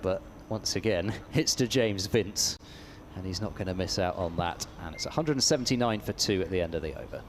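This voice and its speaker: A man's voice: sits low, brisk, hardly any pauses, a little hiss or hum. A man doing ball-by-ball radio cricket commentary, hesitant and stumbling over repeated words, with his voice climbing when a shot is played. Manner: rises sharply when the action picks up.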